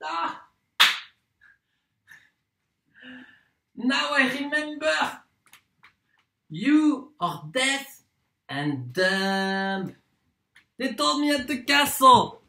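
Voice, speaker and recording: Slow, theatrical wandering torturer acted out in monologue. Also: medium pitch, very animated, fairly bright tone. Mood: sudden realisation, exclaiming. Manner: theatrical, deliberate, with dramatic pauses and swings. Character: proud wandering torturer from Poland, wheedling then contemptuous.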